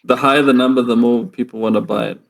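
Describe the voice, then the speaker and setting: Gravelly man in casual chat. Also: mid-range voice, steady tone, fast. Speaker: podcast co-host.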